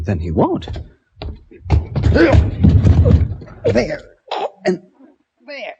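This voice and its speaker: An actor playing a strong but unsure, polite man on the run in a radio drama, speaking haltingly. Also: sits high, huge pitch swings, vocal fry, dull audio, heavy background noise, heavily acted. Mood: tense, excited.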